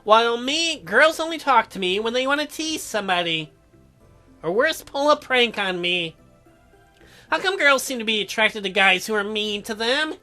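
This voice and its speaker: Whiny teenage boy for a dating game, played by a woman, dejected and self-pitying, bright tone over music. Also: a little high, lively intonation, normal speed.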